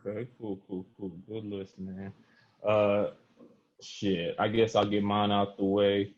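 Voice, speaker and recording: Low-voiced American man, casual podcast host. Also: flat delivery, slow pace, a little mellow, highs cut off. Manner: slangy, informal. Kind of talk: casual unfiltered round-table chat.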